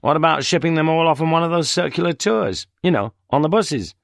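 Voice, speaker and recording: A man's voice: a little high, fairly quick, creaky, dry recording, studio quality, voice pushed up. A man voicing an earnest, helpful police sergeant in an audiobook reading. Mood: eager. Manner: keen, helpful.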